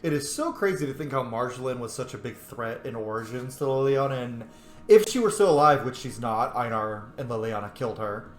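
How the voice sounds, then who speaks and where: A man's voice: medium pitch, fairly quick. A man hosting a game playthrough with informal, opinionated roleplay commentary. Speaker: gaming host. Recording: roomy echo, game music behind.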